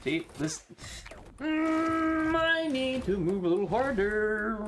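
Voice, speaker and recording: A woman's voice: high-pitched, animated, takes it slowly, a little hiss or hum. A woman live-streaming a video game, chatting casually with slangy, sweary exclamations. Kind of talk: casual gaming stream commentary.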